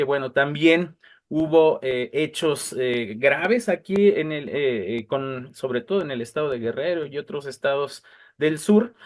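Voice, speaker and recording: Slightly high-voiced man, hesitant explainer. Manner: hesitant, searching for words. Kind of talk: explanatory conversation.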